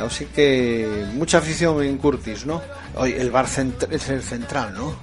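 A man hosting a radio sports chat, teasing his guests in quick back-and-forth. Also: medium pitch, music in the background. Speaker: radio host.

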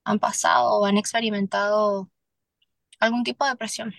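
A young Venezuelan woman, a singer-songwriter, speaking candidly as a podcast guest, earnest and halting with restarts. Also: medium pitch, flat delivery, gravelly, no room sound. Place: Miami.

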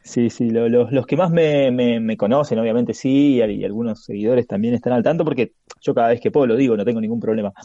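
A man in his forties, born in Chile with an Argentine Spanish accent, chatting as a podcast guest with many pauses and restarts. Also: mid-range voice, warm tone, no echo, highs cut off. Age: 40s. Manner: hesitant, halting with frequent restarts. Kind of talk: casual conversation.

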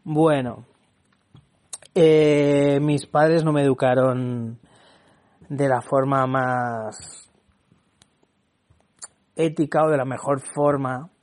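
A man's voice: medium pitch, steady tone, extremely slow, pauses often, darkish tone. A young man from Barcelona with a Barcelona Spanish accent, hosting a casual solo podcast, chatty and laughing often as he restarts his words. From Spain. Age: young adult. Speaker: podcast host.

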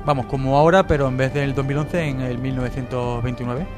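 A man's voice: medium pitch, fairly even intonation, a little fast, over music. A man from Spain with a Spanish accent giving a casual, jokey radio review, partly read out and sometimes stumbling. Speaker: radio show contributor reviewing TV series.

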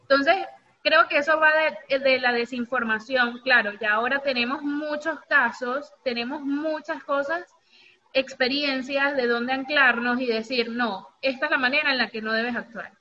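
A high-voiced woman with a Venezuelan accent chats casually in a bright, forceful tone, often acting out what people said. The recording is slightly dull and lo-fi, with faint noise behind her. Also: normal speed. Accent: Venezuelan Spanish. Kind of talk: casual conversational talk.

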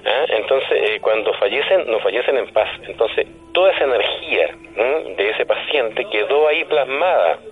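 A man's voice: mid-range voice, expressive, moderate pace, few pauses, breathy edge, soft background music. A man with a Chilean accent explains things conversationally as a guest on a radio interview, punctuating statements with questioning hums. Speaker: guest paranormal commentator. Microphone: telephone. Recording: narrow, dull phone-line sound.

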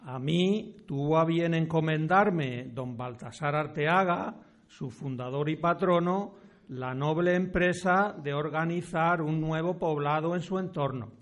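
Man playing a slow-speaking, high-voiced village priest. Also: lightly acted. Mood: welcoming, dignified. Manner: deliberate, formal, old-fashioned speech. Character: courteous, authoritative village parish priest of the 1700s. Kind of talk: amateur historical radio drama acting.

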